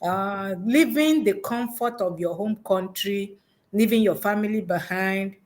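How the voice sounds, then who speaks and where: Woman talking thru her thoughts in an interview, medium pitch, reflective, says key bits again. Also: unhurried, darkish tone. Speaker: education consultant guest. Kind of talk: unscripted conversational interview answers.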